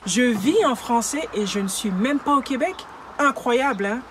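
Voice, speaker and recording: A woman giving a proud, unhurried video testimonial. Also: a little high, lively intonation, slight background noise. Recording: very echoey room.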